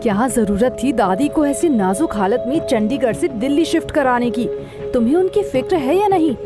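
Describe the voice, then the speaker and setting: An actress playing a scolding, accusing middle-aged aunt in an audio drama. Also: female voice, high voice, lively intonation, moderate pace, music in the background.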